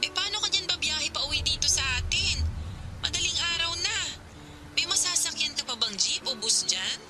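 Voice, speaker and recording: An actress in a Filipino radio drama playing a worried, protective older sister who questions and urges with concern. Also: female voice, high voice, animated, normal speed, over loud music.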